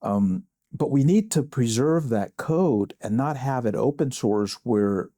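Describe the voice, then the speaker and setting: A man from Samoa, hosting a podcast conversation in an earnest, self-deprecating way with halting restarts. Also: medium pitch, lively intonation, warm tone, no room sound, studio quality. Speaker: podcast host. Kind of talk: casual faith podcast conversation.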